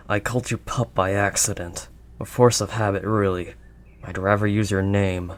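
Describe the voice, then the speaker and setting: A man voicing a gruff but kindly werewolf of the wild woods in an intimate audio roleplay, with a halting, gently protective manner. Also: on the low side, slight background noise. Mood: sheepish. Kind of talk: audio roleplay, intimate second-person acting.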